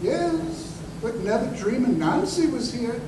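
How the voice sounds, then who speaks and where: A man's voice: very high voice, very animated, heavily acted. Man acting aging crippled veteran, bitter and humble, rustic storyteller, old-time radio style, echoey room, noisy recording. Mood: wistful. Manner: halting, rustic grammar, impassioned storytelling. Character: aging, crippled, humble ex-soldier turned wandering conjurer, bitter and wistful. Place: live performance in a museum. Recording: roomy, distant, noisy live stage recording.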